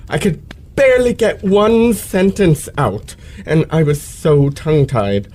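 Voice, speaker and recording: A man's voice: fairly high pitch, very animated, slow, a little mellow, full character voice. A man playing a pompous, self-pitying male lecturer with florid, precise elocution in an old-fashioned radio play. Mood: aggrieved, theatrical.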